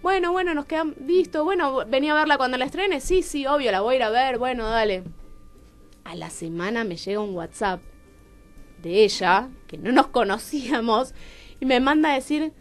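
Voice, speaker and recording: An Argentine woman with a Rioplatense accent, a talkative and expressive storyteller in conversation who acts out remembered dialogue. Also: sits high, normal speed. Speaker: playwright, radio show guest. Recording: music behind the voice. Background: music.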